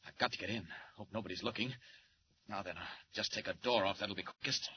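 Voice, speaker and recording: An actor playing a confident male superhero in a 1940s radio drama, briskly thinking aloud as he acts. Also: on the low side, bright, forceful tone. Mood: intent, assured. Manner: brisk, self-narrating, assured. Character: confident heroic superhero man, muttering his plans aloud. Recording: dull, noisy 1940s radio recording.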